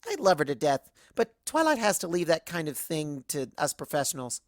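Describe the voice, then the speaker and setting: A woman's voice: deep voice, very animated, moderate pace, no room sound, studio quality. A woman reading a first-person cartoon fan story aloud, performing the narration in character.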